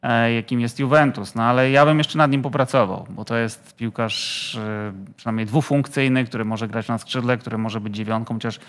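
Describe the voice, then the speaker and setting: A man's voice: mid-range voice, fairly even intonation, normal speed, hardly any pauses, a bit bright. A man talking football as a studio pundit, hesitant and full of fillers, in a TV studio.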